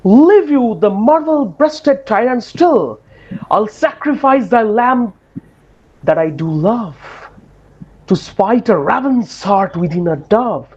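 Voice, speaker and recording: A woman's voice: on the high side, animated, takes it slowly, darkish tone, slightly rough, lo-fi sound, heavily acted. A woman playing a lovelorn, bitter, proud duke in a dramatic reading of Shakespearean comedy. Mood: wounded, vengeful.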